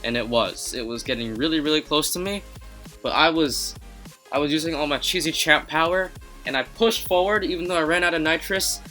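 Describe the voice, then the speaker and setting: Young male game commentator, first language Chinese, bright and fairly high, soft music under him. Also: brisk. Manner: chatty, self-mocking, upbeat. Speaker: gaming YouTuber. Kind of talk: casual game commentary voice-over.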